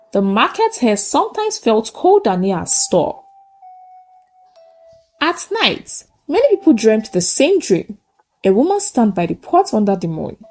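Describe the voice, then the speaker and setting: A woman's voice: fairly high pitch, mellow. A woman narrates a folktale in short, simple sentences, solemn and emphatic. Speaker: storyteller. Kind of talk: dramatic folktale narration with a closing moral.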